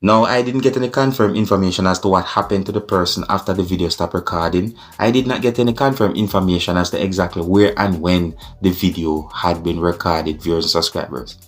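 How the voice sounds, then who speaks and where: A man with a Jamaican accent giving vlog commentary to his viewers, in deliberate, repetitive, formal phrasing mixed with patois. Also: low voice. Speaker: video blogger.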